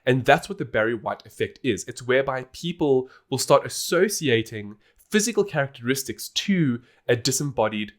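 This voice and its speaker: A man's voice: medium pitch, expressive, moderate pace. Chatty man explaining on a podcast. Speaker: podcast host. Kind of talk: casual explanatory podcast talk.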